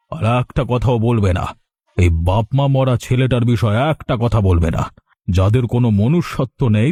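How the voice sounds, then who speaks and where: A man's voice: medium pitch, warm tone, close to an everyday voice. A man voicing an elderly, shrewd village uncle in a cartoon, with a theatrical, exclamatory delivery. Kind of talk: Bengali cartoon voice acting.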